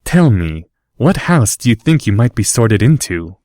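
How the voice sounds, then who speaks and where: A man's voice: lowish voice, sing-song, a little slow, a little mellow, dry recording, clean studio sound. Guy doing a stuck-up posh kid, lots of up and down in the voice. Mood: haughty. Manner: lofty, condescending. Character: haughty rich young boy, snobbish and proud.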